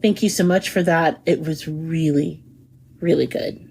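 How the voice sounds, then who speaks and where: A woman's voice: deep voice, fairly even intonation, unhurried, vocal fry, darkish tone, slight background noise. A woman co-hosting a food podcast in casual chat, bubbly and chatty, laughing often. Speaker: podcast co-host.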